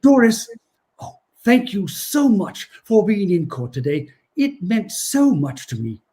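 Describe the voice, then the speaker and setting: A man plays a gentle old Santa in an old-time radio drama. His voice is high for a man and very mellow, swooping with sincere feeling. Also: big pitch swings, a little slow. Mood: grateful. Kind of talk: 1940s-style live radio play acting.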